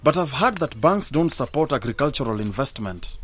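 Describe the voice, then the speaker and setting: An actor playing an earnest young graduate in a radio drama, with a polite, questioning manner. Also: male voice, medium pitch, sing-song, a little fast, breathy edge, faint music behind. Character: earnest young male graduate returning to his village.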